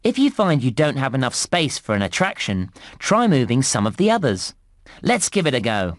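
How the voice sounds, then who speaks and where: Animated, chirpy theme park guide. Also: male voice, mid-range voice, normal speed, no room sound, studio quality. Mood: chatty, upbeat. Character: chirpy, helpful male theme park guide in a children's game. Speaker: game narrator and guide. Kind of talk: scripted children's game narration.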